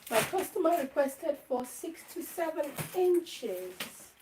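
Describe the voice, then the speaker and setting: A woman talks through her sewing live at home, her voice hoarse and croaky. The room sounds echoey, and a faint hiss of noise runs underneath her. She chats away to herself and the viewers as she works. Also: high-pitched, very animated, slow. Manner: chatty, talks to herself while working. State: hoarse, lost voice. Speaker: sewing vlogger livestreaming. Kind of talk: casual live chat while sewing. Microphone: phone or camera set up nearby.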